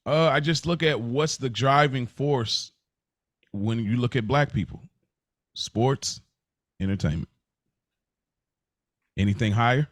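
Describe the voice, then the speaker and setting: A man's voice: mid-range voice, slow, pauses often, no echo, clean studio sound. An American man co-hosting a podcast, chatting casually in an informal, slangy way. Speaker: podcast co-host. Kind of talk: casual conversation.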